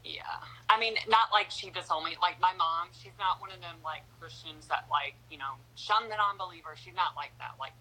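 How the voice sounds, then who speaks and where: Chatty young woman with a bright voice. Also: lowish voice, moderate pace, few pauses, poor audio. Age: young adult. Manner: chatty, fillers like "like" and "you know", laughs easily. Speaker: interview guest. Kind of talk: casual interview.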